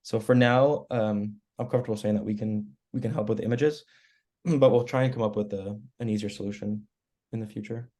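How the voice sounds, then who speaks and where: A hoarse man, losing his voice after a cold, walking colleagues through editing web pages in a relaxed, explanatory way on a video call. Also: lowish voice, a little fast. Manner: relaxed, explanatory, clears throat often. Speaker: web team member giving training. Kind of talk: screen-share walkthrough on a work call.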